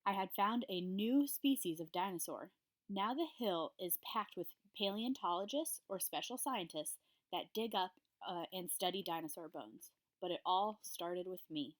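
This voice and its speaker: A woman's voice: lowish voice, normal speed. A woman, a primary school teacher reading a children's story aloud to her pupils. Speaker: third grade teacher.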